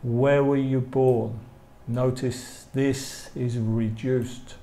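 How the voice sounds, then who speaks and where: A man's voice: mid-range voice, flat delivery, extremely slow, vocal fry, mellow. A man with an English accent teaching a language lesson, enunciating carefully and repeating phrases. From England. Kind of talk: live online language lesson, reading model questions and answers.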